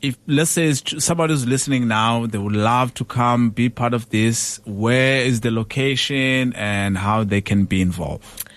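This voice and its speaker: A man hosting a radio show, asking questions in a relaxed, friendly way with short interjections. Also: mid-range voice, normal speed. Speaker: radio host. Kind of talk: casual radio chat. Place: radio studio.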